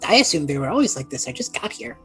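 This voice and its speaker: A woman playing an awkward, sheepish young male mage student lost in time, husky and halting, in a tabletop game. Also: deep voice, very animated, soft background music, exaggerated voice. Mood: sheepish, unsure. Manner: halting, evasive, rambling.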